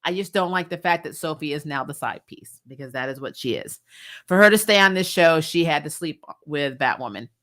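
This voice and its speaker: A woman's voice: low voice, a little fast, fairly bright tone. A woman chatting casually as host of a recap stream, blunt, sarcastic and emphatic, swearing freely and sounding exasperated. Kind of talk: casual opinionated livestream review chat.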